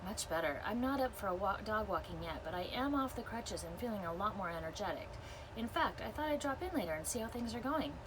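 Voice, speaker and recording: A woman's voice: mid-range voice, expressive, a little fast, lightly acted. Storybook dog walker, played by a woman reader: female, chatty, upbeat, friendly; noisy recording. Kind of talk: children's book read-aloud.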